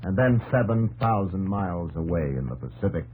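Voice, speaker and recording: A man playing a solemn, stirring narrator of American history in a 1950s radio documentary, speaking poetically with dramatic pauses. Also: low-pitched, lively intonation, rough recording. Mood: urgent, building. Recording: 1950s radio broadcast with loud organ music. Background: dramatic organ music.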